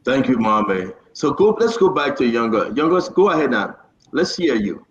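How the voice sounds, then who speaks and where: A man's voice: medium pitch, big pitch swings, normal speed. Man hosting live talk, roomy echo. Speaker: talk show host. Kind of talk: live call-in talk show hosting, conversational. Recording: roomy echo, dull lo-fi sound.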